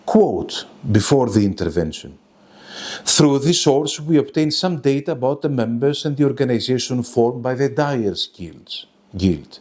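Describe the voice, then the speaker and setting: A man's voice: mid-range voice, lively intonation, a little slow, darkish tone. A man giving a scripted academic presentation in a formal, bookish way, reading out quotations as a lecturer would.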